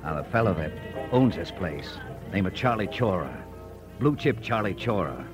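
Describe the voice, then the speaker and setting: An actor playing a wry, defiant American frontier editor with a folksy manner in an old radio Western. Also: male voice, low voice, very animated, normal speed, music in the background. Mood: folksy recounting. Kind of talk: old-time radio Western drama acting.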